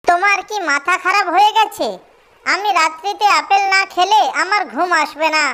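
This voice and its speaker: A man's voice: very high voice, normal speed, bright, forceful tone, pitched above the performer's own voice. A man voicing a young female bird, an apple-crazed, demanding cartoon wife with a whiny, insistent manner.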